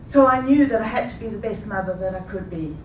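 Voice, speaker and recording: Woman, fifties, rehearsed personal story, unhurried, recorded far off in a roomy hall with audible background noise. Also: mid-range voice. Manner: measured, deliberate delivery. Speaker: speaker. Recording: echoey room, taken from a distance.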